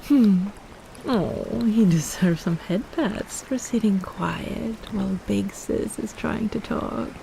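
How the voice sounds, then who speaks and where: A woman's voice: medium pitch, slow pace, gravelly, noisy background. A woman playing a possessive, teasing kraken in a roleplay audio drama, cooing and bossing like a doting big sister.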